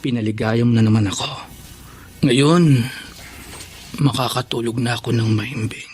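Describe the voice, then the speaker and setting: A mid-pitched male voice of an actor plays a selfish husband in a radio drama. He drawls smugly, with faint noise behind. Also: fairly even intonation, normal speed, darkish tone, close to an everyday voice. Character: smug, selfish, sated live-in husband. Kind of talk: Tagalog radio drama acting.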